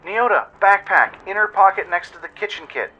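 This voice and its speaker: A man's voice: high-pitched, expressive, moderate pace, sharp tone, husky, dull audio, voice pushed up, heavily acted. A man voicing an earnest, caring young female pony in an urgent, pleading way for a radio play. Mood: urgent, commanding.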